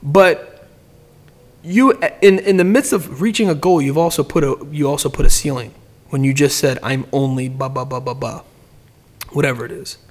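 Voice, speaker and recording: A man's voice: medium pitch, moderate pace. A man in casual podcast chat, giving business advice in a rambling way, often repeating words and restarting sentences.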